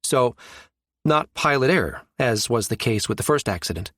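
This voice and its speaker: A man's voice: medium pitch, animated, normal speed, a bit bright, no echo, clean studio sound. A man voicing a skeptical, wry professional woman who muses emphatically, in an audiobook. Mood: puzzled, probing. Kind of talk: audiobook character dialogue.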